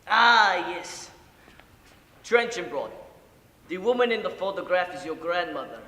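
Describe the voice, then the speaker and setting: A man performing a dramatic monologue as an old, boozy, guilt-ridden Ukrainian grandfather, speaking halting broken English. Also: high voice, lively intonation, bright, forceful tone, exaggerated voice. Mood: fond reminiscing. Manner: halting broken English with sighs and murmured asides. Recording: echoey hall, distant, audible room noise.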